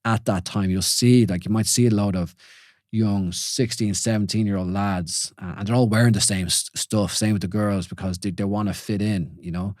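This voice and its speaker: A man's voice: lowish voice, fairly even intonation, moderate pace, darkish tone, no echo, studio quality. An Irish man in his forties hosting a solo podcast, talking things through informally with listeners and often asking "you know?". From Ireland. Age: 40s. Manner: informal, questioning, many restarts and "you know". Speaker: podcast host. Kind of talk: reflective solo podcast talk.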